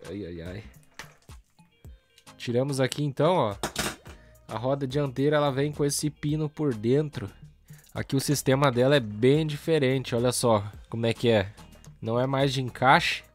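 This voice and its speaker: Male hobby vlogger, Brazilian accent, hoarse and stuffy nasal voice, relaxed chatty slang. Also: mid-range voice. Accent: Brazilian Portuguese. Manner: relaxed slangy chatter, talks while working. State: hoarse and congested from a cold. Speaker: toy truck customizing YouTuber. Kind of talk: casual hands-on tutorial narration.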